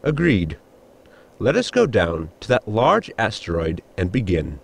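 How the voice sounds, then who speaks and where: A man's voice: fairly low pitch, huge pitch swings, creaky, heavily acted. An actor playing a polite, precise spaceship science crewman in a comedy audio drama, speaking formally and earnestly. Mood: dry, matter-of-fact. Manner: formal, measured, explanatory.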